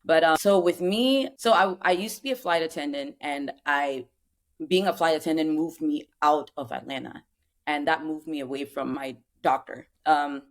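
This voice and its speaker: A woman's voice: sits low, moderate pace. Podcast guest, woman in her thirties from Cameroon, chatty and rambling. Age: 30s. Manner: chatty, rambling with many fillers. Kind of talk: casual chat.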